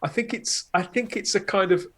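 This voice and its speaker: A man with a slightly higher-pitched voice talks casually on a podcast. He is chatty and rambles along in a jokey, informal way. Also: steady tone, normal speed, a bit bright. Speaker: podcaster, guest on the show.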